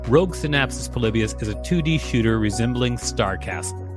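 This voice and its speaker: A man's voice: mid-range voice, animated, a little fast, over music. A man co-hosting a podcast, reading a scripted encyclopedia-style article aloud.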